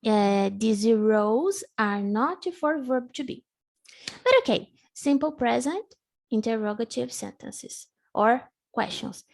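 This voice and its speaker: A woman's voice: fairly high pitch, creaky. A woman whose first language is Portuguese, teaching a live online English lesson and patiently drilling each word. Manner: patient, slow drilling, repeats words for the student.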